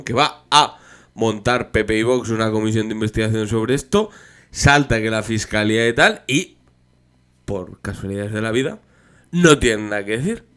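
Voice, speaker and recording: Bright, clear-voiced male co-host. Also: lowish voice. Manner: joking, ironic, laughs often. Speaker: podcast co-host. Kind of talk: casual political banter.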